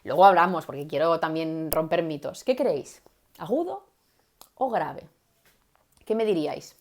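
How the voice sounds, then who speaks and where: Lively woman coaching viewers. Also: low voice, unhurried. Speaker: communication coach. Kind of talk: live-stream teaching talk.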